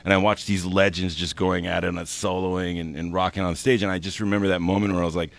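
A man's voice: low-pitched, quick pace, gravelly. A young man from Hawaii with a froggy voice, chatting casually as a guest musician and telling stories with lots of fillers. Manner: relaxed storytelling, many fillers. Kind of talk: casual chat.

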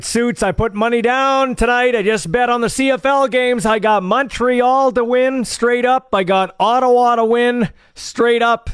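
Man with a high voice, easygoing radio sports host, dry studio sound. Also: clear, resonant tone, dry recording. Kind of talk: casual radio sports talk.

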